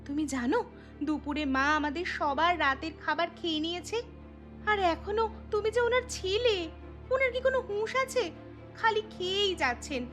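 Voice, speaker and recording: Woman voicing a very high-pitched young cartoon daughter-in-law. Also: moderate pace, a bit bright. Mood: exasperated, complaining. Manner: complaining, scolding. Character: young daughter-in-law, exasperated, hardworking housewife. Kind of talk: cartoon voice acting. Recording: music behind the voice.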